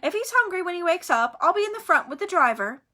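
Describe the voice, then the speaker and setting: A woman voicing a kindly, plump older witch selling food in a storybook reading, gentle and reassuring. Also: very high voice, sing-song, fast, voice pushed up, exaggerated voice.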